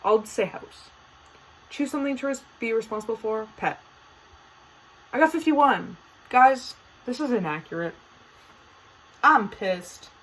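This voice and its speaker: A woman's voice: fairly high pitch, animated, unhurried, long pauses, fairly bright tone, low-quality recording. A teenage girl hosting her own podcast, chatting casually and playfully dramatic, with lots of fillers. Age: teens. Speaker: podcast host. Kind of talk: casual solo podcast chat.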